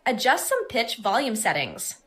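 A woman presenting a software tutorial in scripted, instructional, step-by-step narration. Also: on the high side, huge pitch swings, normal speed.

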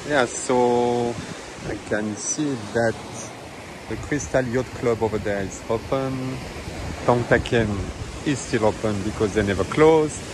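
Male travel vlogger, casual beach walk-through, mid-pitch, waves rushing behind, phone audio. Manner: chatty, non-native phrasing, fillers. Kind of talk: casual walking-tour commentary. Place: outdoors on a tropical beach. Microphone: handheld phone camera. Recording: outdoor phone audio with surf noise. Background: waves and wind.

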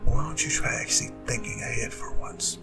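A man playing a proud, vengeful and seductive demon king in an ASMR audio drama, speaking in a breathy, gravelly half-whisper with slow, grandiose delivery. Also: medium pitch, lightly acted. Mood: menacing, gloating. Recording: roomy reverb, distant, music behind. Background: music.

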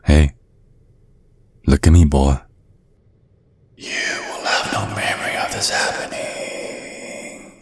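A man plays a centuries-old vampire father with an extremely low voice, recorded close and dry. He is courtly and measured, with polite menace under every word, in an ASMR audio roleplay. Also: little pitch movement, dry recording, full character voice. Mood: hushed, commanding. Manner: measured, politely threatening, formal.

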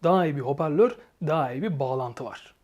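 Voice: mid-range voice, expressive, fairly quick, highs cut off, very noisy. A reviewer giving a casual, explanatory product review and addressing viewers informally. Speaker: motorcycle gear reviewer and channel host.

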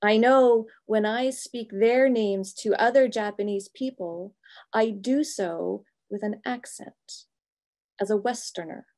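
A middle-aged woman pastor giving a reflective, prepared talk from a home office over a video call, measured and thoughtful, pausing between phrases. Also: mid-range voice, darkish tone. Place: home office with bookshelves, indoors.

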